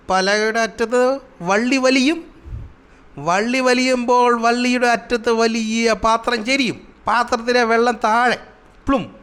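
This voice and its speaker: High-pitched, grumbling cartoon trickster animal. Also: male voice, clean tone, full character voice. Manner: animated, exasperated, explains schemes with sound words. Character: cunning, grumbling trickster animal, a scheming jungle schemer. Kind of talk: Malayalam children's cartoon comedy acting.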